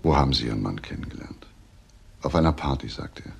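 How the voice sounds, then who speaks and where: Deep, gravelly, probing police detective. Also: male voice, expressive, fast, a little mellow, slight background noise, exaggerated voice. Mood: grave, measured. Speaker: actor in a crime drama. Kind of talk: German TV crime drama dialogue.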